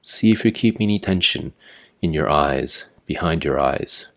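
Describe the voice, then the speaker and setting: A man narrating a guided meditation as an instructor, giving measured, step-by-step directions. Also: extremely low pitch, expressive, a bit bright. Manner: measured, imperative step-by-step guidance.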